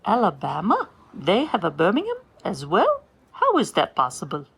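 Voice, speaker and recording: A woman's voice: mid-range voice, huge pitch swings, normal speed, husky, heavily acted. A woman playing a pompous grand old stage dame with a haughty, theatrical British accent. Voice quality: haughty, theatrical, self-important. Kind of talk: comedy podcast sketch.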